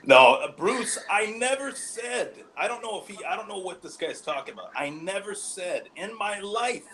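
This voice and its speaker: Lively man bantering on a sports podcast. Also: high-pitched, normal speed, background noise. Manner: cocky, playful.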